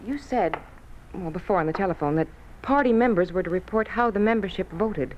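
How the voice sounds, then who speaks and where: An actress playing a deferential office secretary in a 1950s radio drama, polite and hesitant. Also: female voice, mid-range voice, a little fast, natural voice. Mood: hesitant, puzzled. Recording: muffled, noisy old radio recording.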